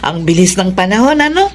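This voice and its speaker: An actress with a Filipino accent playing a loving, contented middle-aged wife in a radio drama. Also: female voice, on the high side, a bit bright, slight background noise.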